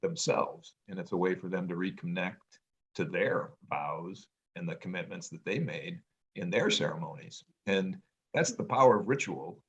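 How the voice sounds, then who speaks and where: Deep-voiced middle aged guy thinking out loud, lots of ums, kinda loud. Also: muffled recording. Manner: hesitant, many ums and restarts, reflective; says he has a loud voice. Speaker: podcast co-host, parent. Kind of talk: casual family conversation.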